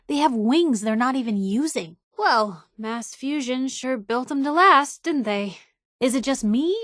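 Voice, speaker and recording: A woman's voice: fairly high pitch, no echo, clean studio sound, lightly acted. An actress playing a sardonic, plucky young woman reporter in a video game, with wry, conversational quips. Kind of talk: video game companion voice acting.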